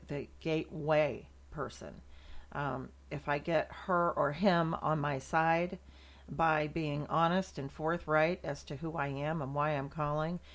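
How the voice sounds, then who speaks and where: Low-voiced American woman, chatty and emphatic. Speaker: sales trainer hosting a morning segment. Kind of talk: casual motivational talk to viewers.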